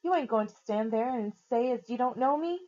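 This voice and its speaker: A woman voicing a blunt, working-class cab driver who talks with rough, indignant directness. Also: a little high, expressive, fairly quick, warm tone, dull audio, voice pushed up, lightly acted. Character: rough-spoken London cab driver, loyal man.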